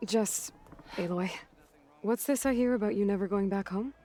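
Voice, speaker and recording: Aloy, direct steady young adventurer played by a woman. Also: medium pitch, normal speed, lightly acted. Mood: curious. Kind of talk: video game cutscene acting. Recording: music behind the dialogue.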